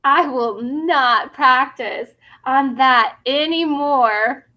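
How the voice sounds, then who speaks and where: A tiny, determined young T. rex, as a woman reader puts it on for a children's storybook. The voice is high and bright, childlike and earnest, with careful, unhurried phrasing. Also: heavily acted. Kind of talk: children's storybook read-aloud.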